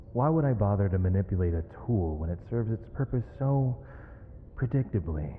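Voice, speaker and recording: An actor playing a proud, weary captive mage in an ASMR roleplay, with eloquent, theatrical and measured formal diction. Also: male voice, low-pitched. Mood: mocking, disdainful. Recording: reverberant hall, faint noise, dull lo-fi sound.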